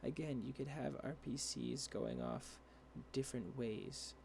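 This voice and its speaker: A young man explaining a coding tutorial over a screen share, rambling and halting with many fillers and restarts, his voice dry. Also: mid-range voice, wildly swooping pitch, gravelly, a little mellow, slight background noise. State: dry voice, clearing throat. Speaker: tutorial creator.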